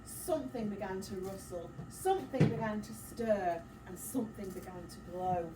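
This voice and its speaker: A woman's voice: medium pitch, normal speed. A woman teacher reading a picture book aloud to children, expressively, breaking off for encouraging questions and asides. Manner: expressive, dramatic gasps, encouraging prompts. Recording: roomy, distant mic, clear background noise.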